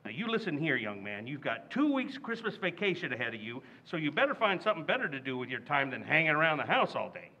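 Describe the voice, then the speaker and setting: A man's voice: a little high, sing-song, quick pace, hardly any pauses, lo-fi sound, heavily acted. A man playing a blustering, penny-pinching sitcom dad. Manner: loud, blustering, indignant. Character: blustering stingy working-class dad. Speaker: radio actor. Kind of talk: old-time radio comedy acting.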